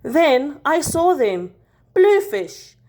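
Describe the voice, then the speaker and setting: A woman reads a children's story aloud at a slow, careful pace. Her voice sits fairly high and moves up and down in a lively way, leaning on key words for drama. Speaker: teacher reading a picture book aloud.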